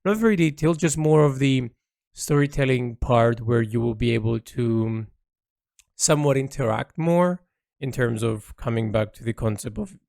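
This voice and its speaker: A man recording a reflective, unscripted audio log as an artist, rambling and rephrasing haltingly as he thinks aloud into a close mic. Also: medium pitch, creaky, a little mellow, dry recording.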